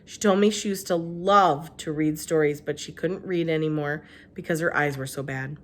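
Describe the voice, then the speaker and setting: A woman's voice: deep voice, fairly even intonation, normal speed. A woman narrating a children's storybook aloud like a teacher reading to a class.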